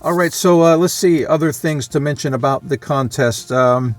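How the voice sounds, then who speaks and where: A man's voice: on the high side, moderate pace, no room sound, soft background music. A man giving a casual, unscripted vlog talk as a hobbyist, rambling and matter-of-fact as he thinks aloud. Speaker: vlogger, amateur radio hobbyist.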